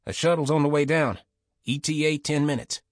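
A loyal military officer acted by a male narrator, brisk and urgent, pitched a little up. The delivery is that of a seasoned soldier reporting in an audiobook, close and dry. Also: no room sound, close to an everyday voice.

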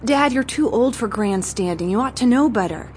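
A young daughter pleads anxiously with her father in a raised, higher voice, as an audiobook reader puts her on. She talks fast and urgently, coaxing and worried. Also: animated, a little mellow, slight background noise, natural voice. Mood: pleading.

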